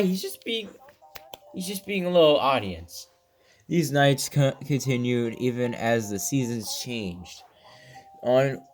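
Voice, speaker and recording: A gaming video host reading a game's story text aloud, often stumbling over words and rereading them, with casual reactions. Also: medium pitch.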